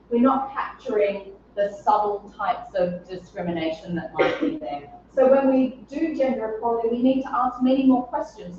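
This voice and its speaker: A middle-aged woman professor with a slightly scratchy voice giving an academic conference lecture, explaining research clearly with occasional ums. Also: fairly high pitch, a little mellow. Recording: distant, echoey, dull-sounding room.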